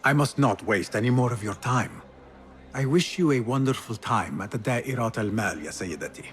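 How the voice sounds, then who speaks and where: An actor playing a resolute, guarded assassin in a video game, speaking in measured, serious and formal phrases. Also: male voice, mid-range voice, expressive, a bit bright, close to an everyday voice. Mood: polite, guarded. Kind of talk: dramatic game cutscene acting.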